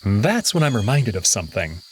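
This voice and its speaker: A man giving first-person story narration in a lively, expressive reading. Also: lowish voice, brisk, slight background noise, poor audio. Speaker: visual novel reader.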